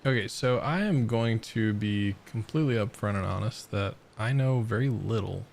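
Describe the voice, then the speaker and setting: A man livestreaming a game, reacting casually and thinking aloud in a rambling, halting way. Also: on the low side, gravelly, darkish tone, a little hiss or hum. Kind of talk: casual live reaction and chat.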